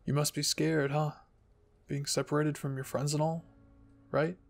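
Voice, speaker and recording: A man voicing an earnest, protective teenage boy soldier in a story reading, with a halting, sincere manner and a husky voice. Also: a little high, flat delivery. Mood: gentle concern.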